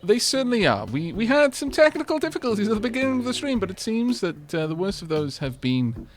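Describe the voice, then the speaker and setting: A man streaming a game, bantering with chat in an animated, rambling, self-deprecating way, with faint music behind. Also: high-pitched, brisk. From Wales.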